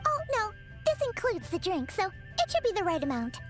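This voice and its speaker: Very high, squeaky little fairy companion. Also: female voice, sing-song, normal speed, faint music behind. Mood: indignant. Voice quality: squeaky, childlike voice. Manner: refers to self in third person, exclaiming. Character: small childlike floating fairy companion, excitable and indignant. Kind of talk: video game voice acting.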